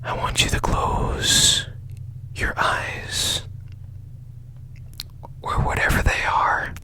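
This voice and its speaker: Man playing mysterious obsessive fixer, male, ASMR roleplay, hushed whisper, long deliberate pauses, drawn-out pace. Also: heavily acted. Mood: intense, secretive. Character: strange secretive man obsessively repairing a machine.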